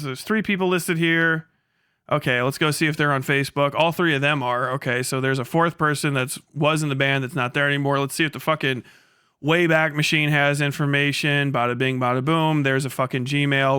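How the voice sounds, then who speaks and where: A male streamer with a fairly high, clear voice talks casually to his viewers. He is blunt and sarcastic and swears freely. The sound is bright and forceful. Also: no room sound, studio quality. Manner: blunt, heavily profane, sarcastic. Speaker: live streamer. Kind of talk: casual livestream rant with chat.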